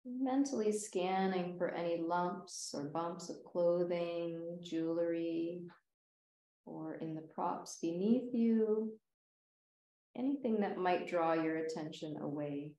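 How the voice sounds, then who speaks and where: A woman leading a guided meditation as a yoga nidra teacher, speaking in a soothing, gentle, unhurried way with long pauses. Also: fairly low pitch, roomy sound, poor audio.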